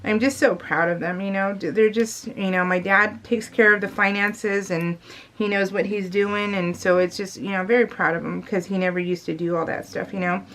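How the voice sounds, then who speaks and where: A middle-aged woman talks casually, as a craft vlogger does to her regular viewers. Her voice sits at an average pitch. She rambles along in a confiding way and leans hard on the words she cares about. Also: steady tone, normal speed, fairly bright tone, slight background noise, poor audio. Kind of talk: casual chat.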